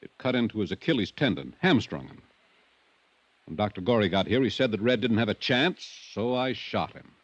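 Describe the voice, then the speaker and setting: A man's voice: lowish voice, expressive, a little fast, no echo. An actor playing a middle-aged American ranch owner, a curt, irritable, combative father, in a 1950s radio drama. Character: gruff, defensive, hot-tempered ranch owner and stern father. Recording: dull, dry vintage radio recording.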